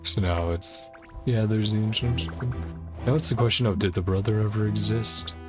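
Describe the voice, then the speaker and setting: A man's voice: on the low side, moderate pace. A man doing casual video game commentary, thinking aloud as he pieces a mystery together, with game music behind him. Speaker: streamer playing a video game.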